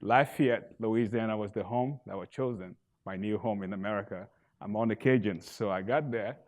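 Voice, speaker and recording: Low-voiced Liberian man in his thirties; earnest founder pitch, rising to emphatic bursts. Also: moderate pace, a little mellow. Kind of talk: pitch presentation on stage. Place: event stage.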